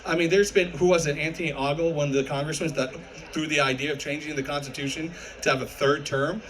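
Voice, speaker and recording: A man's voice: a little high, fairly even intonation, fast, fairly bright tone, poor audio. A man, a history professor, debating live with probing questions and academic wording.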